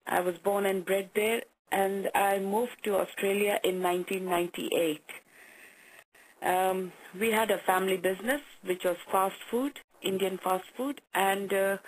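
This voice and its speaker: A woman from Kenya telling her own story as a guest on a business call, pausing often with hesitations. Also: fairly low pitch, poor audio. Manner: hesitant, with frequent "uh" pauses. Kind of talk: personal story.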